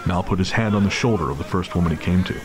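A man's voice: low voice, fast. A man narrating a scripted dramatic story as the narrator of an audio drama. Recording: clear background noise of city ambience.

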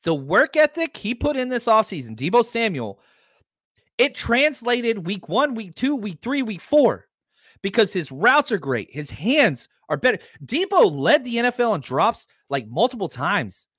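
A man in his forties with a high, lively voice that swings up and down, hosting a sports podcast. He speaks emphatically and drives his points home by repeating himself. The sound is dry and close. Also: a little slow, husky, no room sound. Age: 40s. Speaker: podcast host.

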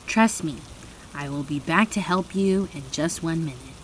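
A woman voicing a kind, eager little fairy princess in a children's storybook, gentle, airy and reassuring. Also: low voice, expressive, normal speed, a little hiss or hum, voice pushed up, low-quality recording.

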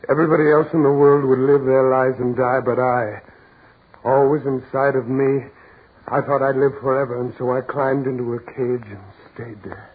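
An actor playing a brooding businessman who yearns to break free, with halting, intense delivery in 1940s radio melodrama style. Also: medium pitch, steady tone, slight background noise, lightly acted. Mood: yearning, resolute. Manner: halting, intense, dramatic pauses. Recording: muffled, tinny 1940s radio recording with music.

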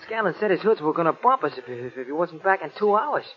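A man acts out a jumpy, devoted small-time crook in a 1940s radio thriller. His American voice sits high and bounces around with slangy, stammering worry. The old recording is muffled. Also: expressive, fast talker, natural voice. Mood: anxious. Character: nervous, loyal small-time crook sidekick, male. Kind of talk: 1940s radio crime drama acting.

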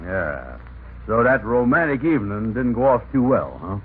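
A man playing an easygoing, slow-witted sidekick in radio comedy, talking in folksy nonstandard grammar. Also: medium pitch, sing-song, close to an everyday voice. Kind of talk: 1950s radio comedy acting. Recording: noisy, narrow-band old radio recording.

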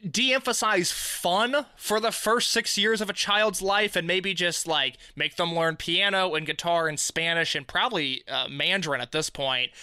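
A young man with a very bright, high voice hosts a casual chat, cracking jokes and wandering before he lands on a curious question. The sound is dry and close. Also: no echo. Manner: joking, rambling, curious questions. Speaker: podcast host.

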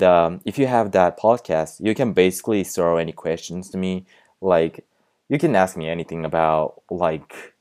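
Japanese-accented guy doing a chill podcast, low clean voice, lots of likes and ums. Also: darkish tone, smooth. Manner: halting, searches for words with frequent "like" and "um". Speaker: podcast host. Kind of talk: casual solo podcast tips.

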